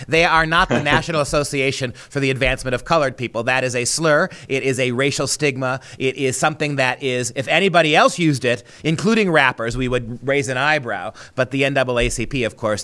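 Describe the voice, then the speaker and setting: Male commentator, very bright and forceful voice, medium pitch, emphatic opinion delivery with rhetorical repetition. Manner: emphatic, rhetorical questions, repeats phrases for emphasis.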